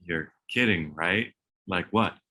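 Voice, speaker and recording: A man's voice: deep voice, takes it slowly, pushed and bright, heavily acted. A man playing a laid-back, mildly exasperated forensic evidence technician in a comedy table read, dry and a little hesitant. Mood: incredulous.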